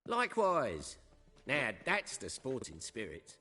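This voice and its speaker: An actor playing a chatty, cheerful halfling farmer in a video game, with a rustic, talkative way of speaking. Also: male voice, mid-range voice, wildly swooping pitch, slow pace, pushed and bright, breathy edge, big-room sound, heavily acted. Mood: chatty, animated. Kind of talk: video game dialogue acting. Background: background music.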